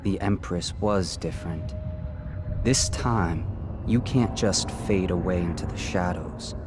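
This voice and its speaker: Low, unhurried otherworldly spirit. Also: male voice, noisy background. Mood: calm, cryptic. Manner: slow, measured, quietly mysterious. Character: ancient otherworldly male spirit, calm and knowing. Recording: game cutscene with music behind.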